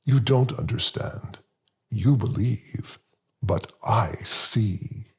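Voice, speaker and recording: A man voicing a solemn, welcoming elderly man in an audiobook, speaking deliberately in a raspy, gravelly voice. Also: fairly low pitch, darkish tone, exaggerated voice. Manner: deliberate, measured. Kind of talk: audiobook character voice.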